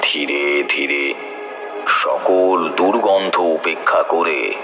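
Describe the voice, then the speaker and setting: A man narrating a horror audio story in the first person, with dramatic, suspenseful and deliberate delivery. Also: a bass voice, music in the background.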